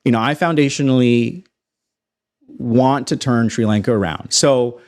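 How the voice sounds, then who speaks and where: A man's voice: medium pitch, a little slow. Emphatic Toronto man telling his story. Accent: North American English. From Toronto, Canada. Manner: animated storyteller, emphatic and self-assured. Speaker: guest, space company founder. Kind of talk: casual podcast interview.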